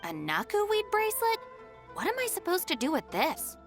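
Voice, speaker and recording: A woman's voice: extremely high pitch, huge pitch swings, normal speed, music in the background, exaggerated voice. A woman voicing a capable, exasperated young woman in a video game, blunt and no-nonsense.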